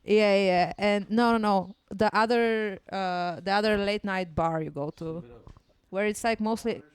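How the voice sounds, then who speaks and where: A woman's voice: medium pitch, a little slow, clean tone, no room sound. A young woman from Bulgaria with a Bulgarian accent, chatting casually as a comedian guest on a podcast, joking and laughing often. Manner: laughing often, self-deprecating and animated. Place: podcast studio.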